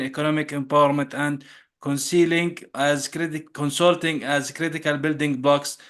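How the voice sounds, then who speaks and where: Man, podcast host, slightly high voice, slips and self-corrections. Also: a bit bright. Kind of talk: interview podcast.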